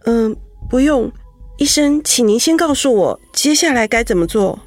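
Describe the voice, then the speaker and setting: High-voiced young wife. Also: female voice, expressive, moderate pace, faint music behind. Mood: shaken, composed. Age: young adult. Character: young married woman patient, composed but anxious. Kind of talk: radio drama acting.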